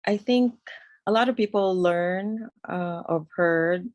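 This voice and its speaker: A woman's voice: lowish voice, slow, a little mellow, dry recording, lo-fi sound. A woman raised in New York, a guest on a video call, speaking reflectively and searching for her words. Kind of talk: casual conversation over a video call.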